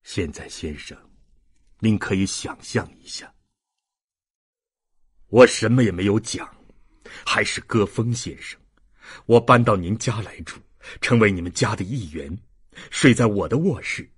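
A weary old ex-convict, as a male narrator plays him, confessing in anguish yet with dignity. The voice is low and husky, halting and slow, with dramatic swings. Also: animated, no echo, clean studio sound. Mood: pained, restrained. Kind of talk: dramatic audiobook reading.

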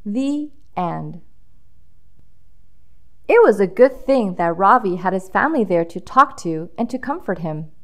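A woman's voice: medium pitch, lively intonation, unhurried, long pauses, fairly bright tone. A woman reading a children's storybook aloud with dramatic emphasis, drawing out key words for young listeners.

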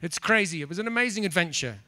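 A middle-aged man with an English accent giving a playful, animated comedy talk to a room on a handheld mic. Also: high voice, fast talker, no echo. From England. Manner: animated, playful, mock-apologetic. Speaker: speaker. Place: conference room.

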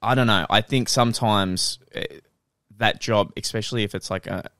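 A young Australian man co-hosting a casual podcast, swearing freely and getting loud and high when joking, close on a studio mic in a living room. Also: steady tone, moderate pace, no echo. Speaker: podcast co-host. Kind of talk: casual chat. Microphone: studio mic on boom arm.

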